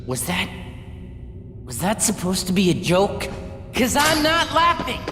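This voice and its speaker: An angry teenage boy hero from a video game, defiant and wounded. His high voice leaps around with raw emotion and rings in long reverb over prominent music. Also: wildly swooping pitch, measured pace, pushed and bright, heavily acted. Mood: furious, defiant. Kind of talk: video game cutscene acting. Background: clear game score music.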